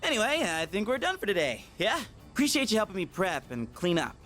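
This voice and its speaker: A man's voice: high voice, brisk, full character voice. An actor voicing a laid-back, goofy, self-conscious teenage boy with an American accent and slangy delivery in a video game. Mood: sheepish, downbeat. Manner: slangy, casual, animated. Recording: music behind the voice. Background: game music.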